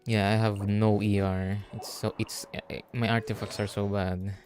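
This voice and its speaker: A man's voice: lowish voice, level tone, moderate pace, a little mellow. A man from the Philippines with a Filipino accent, thinking aloud in casual livestream game commentary. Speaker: streamer. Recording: game music behind the voice. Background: video game music.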